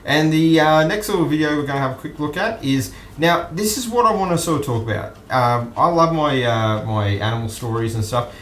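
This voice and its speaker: An Australian man hosting an online news stream, commenting casually and off the cuff in a halting, musing way. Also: fairly high pitch, expressive, a little hiss or hum. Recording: roomy echo with music behind.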